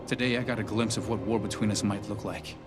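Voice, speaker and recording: An actor playing an earnest, idealistic young inventor turned city councilor in an animated drama, intense and resolute. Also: male voice, lowish voice, fast, natural voice. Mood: grave, negotiating. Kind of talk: dramatic animated series dialogue. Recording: dialogue over clear background music. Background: music.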